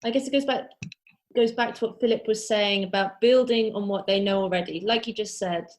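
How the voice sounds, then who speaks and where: A woman's voice: medium pitch, brisk. A woman with a mid-range voice runs the question session of an online teaching webinar. She talks in a friendly, loose way, filling gaps with ums as she reads out questions. She sounds like she is on a video call mic. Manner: friendly, loosely paced with fillers. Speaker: webinar moderator. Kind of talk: moderating an online Q&A.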